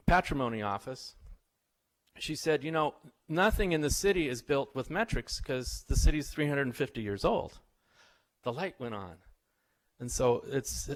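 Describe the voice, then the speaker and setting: A middle-aged man, a visiting professor, tells stories and quizzes a live audience in an informal lecture. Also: fairly high pitch. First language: English. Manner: chatty, anecdotal, asks the audience questions. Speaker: visiting professor giving a talk.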